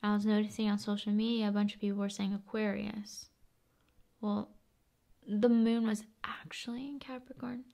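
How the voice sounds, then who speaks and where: A woman chatting casually in an ASMR style, soft-spoken and often dropping into whispers, with long unhurried pauses. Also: medium pitch, level tone, vocal fry. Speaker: ASMR video host. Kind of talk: ASMR, casual rambling chat.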